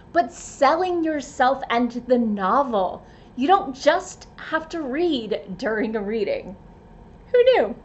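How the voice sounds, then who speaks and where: A woman with a high, very animated voice presents scripted tips at an unhurried pace. Her delivery is expressive and emphatic, with playful asides. The recording is slightly dull and lo-fi. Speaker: writer and presenter giving tips. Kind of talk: scripted advice narration.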